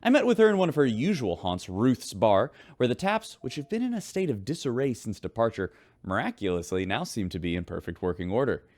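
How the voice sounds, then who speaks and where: A man acting as an upbeat radio host on a spaceship in a sci-fi audio drama. His delivery is polished and folksy, with a very animated, swooping pitch that suits scripted news and interviews. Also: a little fast, few pauses, no room sound, close to an everyday voice. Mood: upbeat, newsy. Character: chirpy male radio host aboard a spaceship.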